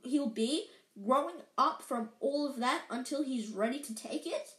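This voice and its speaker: A woman voicing an old, wise male wizard who speaks slowly, heavily and calmly. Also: high-pitched. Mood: grave. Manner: slow, heavy, measured. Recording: roomy echo.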